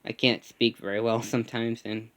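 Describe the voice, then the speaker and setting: A young man with a slight lisp and a smooth, clear tone. He chats casually in a couple's vlog, now and then stammering as he tells a story. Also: medium pitch, level tone. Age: young adult. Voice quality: slight lisp from a gap in his front teeth. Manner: halting, stammers at times. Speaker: vlogger. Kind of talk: casual chat.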